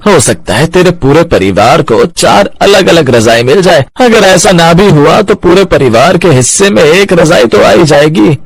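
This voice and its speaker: A man playing an eager, helpful village friend in a storybook tale, urging in a husky voice. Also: on the high side, lively intonation, normal speed, few pauses, no room sound, voice pushed down. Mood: excited, urging. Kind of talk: storybook character acting.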